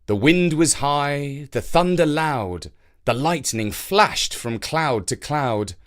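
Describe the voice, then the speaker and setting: Male storyteller reading verse, expressive and dramatic, unhurried, voice goes up n down loads. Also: a little high. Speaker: storyteller and presenter.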